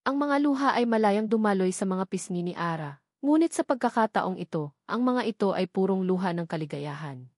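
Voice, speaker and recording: A woman giving scripted narration of a romance story, reading in an even, measured way. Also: mid-range voice, dry recording, clean studio sound.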